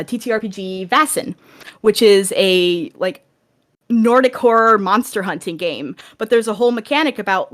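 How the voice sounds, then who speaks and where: A woman talking casually as a podcast guest, animated and often restarting as she works out her thoughts. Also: mid-range voice.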